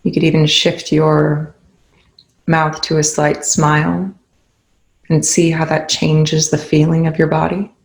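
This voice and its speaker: A meditation instructor leading a guided session in a soothing, gentle, unhurried way with long pauses. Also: medium pitch, monotone, creaky, reverberant, low-quality recording.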